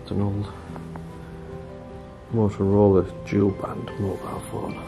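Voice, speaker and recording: A man's voice: lowish voice, a little slow, music in the background. A man in his thirties from England, talking casually and exclaiming in awe as he explores an abandoned house. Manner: excited, awestruck exclamations while walking around. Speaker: urban explorer vlogger. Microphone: handheld camera mic.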